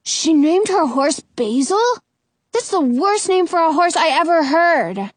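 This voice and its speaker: Eager young storybook boy, voiced by a woman, high, animated, curious, blurting. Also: unhurried, dry recording. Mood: anxious.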